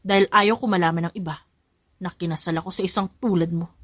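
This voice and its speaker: A woman's voice: lowish voice, fairly even intonation, fast talker, dry recording, clean studio sound, lightly acted. A woman voicing a cold, irritable rich husband in an audio story, curt and scornful.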